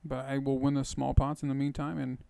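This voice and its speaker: A man with a tired, hoarse voice and some gravel in it talks through his poker hands. He explains his strategy casually, thinking aloud with plenty of fillers. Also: medium pitch, level tone, fairly quick, dark tone, no room sound. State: hoarse, rough voice. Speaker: poker video host. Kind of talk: casual poker commentary over gameplay.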